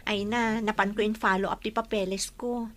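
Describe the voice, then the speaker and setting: A woman's voice: medium pitch, steady tone, normal speed, natural voice. A woman playing a frank, friendly young job seeker in an Ilocano audio drama, candid and outspoken. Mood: friendly, grateful.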